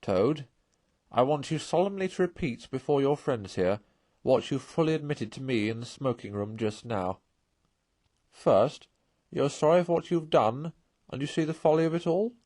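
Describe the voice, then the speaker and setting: A man's voice: medium pitch, lively intonation, moderate pace, darkish tone, dry recording, pitched above the performer's own voice, lightly acted. A man voicing a stern, earnest badger in a storybook, speaking with solemn, moralizing authority.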